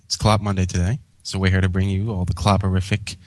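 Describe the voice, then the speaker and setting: A man's voice: low-pitched, flat delivery, fast, dry recording. A man reading a scripted story aloud as a co-host and narrator. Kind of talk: scripted fan fiction narration.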